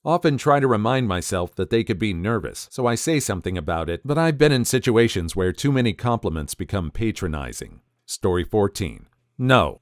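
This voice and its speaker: A man narrating reader stories aloud in a wry, animated way. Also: fairly low pitch, no room sound, studio quality. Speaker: narrator reading online posts.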